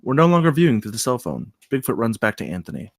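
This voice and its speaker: A man's voice: medium pitch, very animated, fast, dry recording, studio quality. A man reading out stage directions as the narrator of a screenplay table read.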